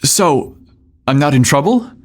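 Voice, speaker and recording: A man voicing an anxious, smitten mailroom guy in an audio romance story, flustered and hurried. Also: on the high side, expressive. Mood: eager.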